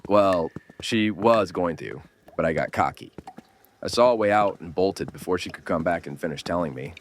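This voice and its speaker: A world-weary survivor with a low, gruff voice, played by a man, speaks bluntly, like a stern mentor in a horror audio drama. There is slight noise behind the voice. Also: normal speed, lightly acted. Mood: wry, explaining. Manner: blunt, scolding, urgent.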